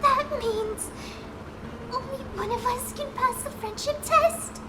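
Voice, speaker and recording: A woman's voice: very high-pitched, slow, heavy background noise. A woman voicing a frightened, timid female pony in peril in a dramatic story reading, pleading in panic. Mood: panicked, distressed. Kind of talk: dramatic fan fiction reading.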